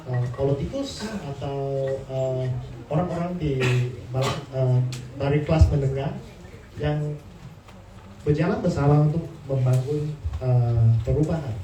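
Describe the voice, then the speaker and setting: A man from Singapore, giving a talk in a language not his first, halting and searching for words. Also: a little high, mellow. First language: not Indonesian. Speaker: guest speaker presenting slides. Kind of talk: prepared talk on political reform. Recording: echoey, distant mic with room noise.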